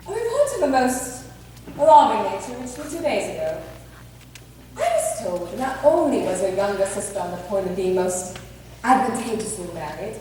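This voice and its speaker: A woman's voice: medium pitch, a little hiss or hum, close to an everyday voice. A woman acts an older aristocrat on the period stage, imperious and pompous. She speaks with cold formality and varied emphasis, heard from afar in a ringing hall. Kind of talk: period stage play acting. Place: theatre stage. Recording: echoey stage recorded from far off.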